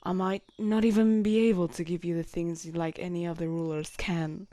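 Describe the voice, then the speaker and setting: A woman's voice: fairly low pitch, little pitch movement, moderate pace, mellow, lightly acted. A woman playing a devoted, lovestruck knight, shy and conflicted, in an intimate romantic audio roleplay, speaking hesitantly and tenderly. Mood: confessing, yearning. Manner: hesitant, tender, breathy whispers at times.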